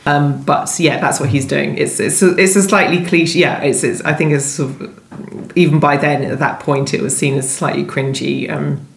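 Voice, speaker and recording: A woman talks conversationally as an interview guest. She is hesitant and rephrases herself as she goes. The room adds clear echo. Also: low-pitched, fairly even intonation, moderate pace. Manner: hesitant, self-correcting. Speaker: novelist guest. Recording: roomy, echoey sound.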